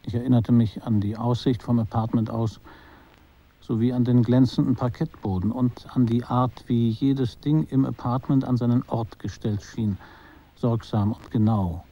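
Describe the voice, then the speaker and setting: An actor narrating a German radio drama as a detached, quietly observant storyteller, measured and understated. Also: male voice, medium pitch, lo-fi sound, close to an everyday voice.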